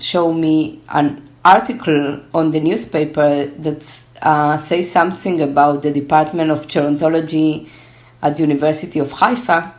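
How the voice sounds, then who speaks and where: A young woman from Argentina, Spanish her first language, answering interview questions in English with many pauses and fillers. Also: deep voice. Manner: hesitant, many fillers and restarts. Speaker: guest, gerontology researcher. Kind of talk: casual interview.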